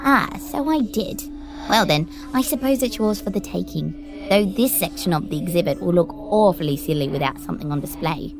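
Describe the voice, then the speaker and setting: A woman's voice: on the low side, moderate pace, natural voice. A woman playing a gracious, wry gallery keeper. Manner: formal, gently wry phrasing. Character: gracious, composed woman gently guiding a grieving poet. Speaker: Victoria. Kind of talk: audio drama acting. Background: music score.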